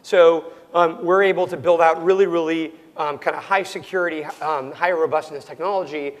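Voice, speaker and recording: A young man, a startup founder, answering interview questions loudly and almost yelling, with plenty of ums and restarts, his voice worn and cracking. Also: on the high side, steady tone, normal speed, long reverb tail. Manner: loud, nearly yelling, full of ums and restarts. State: losing his voice, prone to cracking.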